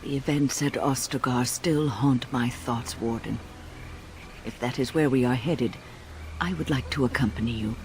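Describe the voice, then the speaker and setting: A woman playing a gentle, thoughtful warrior companion in a fantasy video game, soft-spoken, earnest and measured. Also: extremely low pitch, slight background noise. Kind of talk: dramatic video game acting. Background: music.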